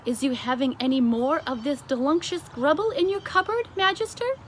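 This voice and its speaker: A woman voicing a friendly, excitable giant from a children's storybook, exclaiming in muddled grammar and made-up words. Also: high voice, moderate pace, a little hiss or hum, voice pushed up, close to an everyday voice. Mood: polite, eager.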